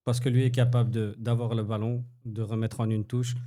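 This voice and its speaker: A man's voice: medium pitch, flat delivery, fairly quick, warm tone. A man co-hosting a podcast, arguing football tactics in a casual debate, insistent and explaining at length. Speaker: podcast co-host.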